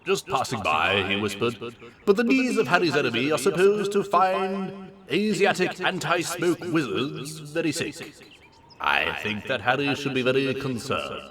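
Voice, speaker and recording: A man's voice: fairly high pitch, very animated, measured pace, a crowd in the background. A man reading absurd comic fiction aloud as a host, in a theatrical, mock-serious way with big swings of emphasis.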